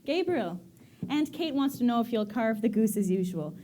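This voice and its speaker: A woman's voice: on the high side, normal speed, soft background music, close to an everyday voice. A woman playing a cheerful, playful young wife. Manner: playful, lively.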